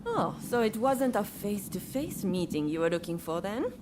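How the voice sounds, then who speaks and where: A woman's voice: mid-range voice, sing-song, moderate pace, noisy background, lightly acted. A woman as a cheeky, worldly young geisha. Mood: wry, teasing. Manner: casual, wry, teasing. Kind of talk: dramatic video game dialogue.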